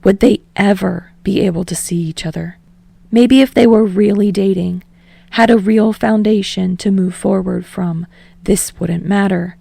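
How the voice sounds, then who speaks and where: Mellow woman narrator with vocal fry. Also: mid-range voice, fairly even intonation, unhurried, no room sound. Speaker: podfic reader. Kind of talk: scripted narration of fan fiction.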